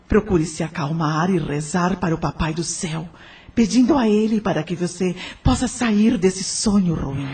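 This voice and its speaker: A woman playing a tranquil, motherly guardian spirit, gentle and breathy, with a Brazilian accent in an audio drama. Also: on the low side. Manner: soothing, gentle, unhurried. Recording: music behind the voice.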